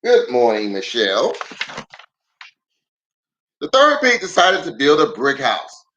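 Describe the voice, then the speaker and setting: A man speaking as a pastor hosting a children's storytime, unhurried and animated, chatting with viewers and drawing out words for emphasis. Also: mid-range voice, muffled recording. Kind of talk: livestream greeting and read-aloud storytelling.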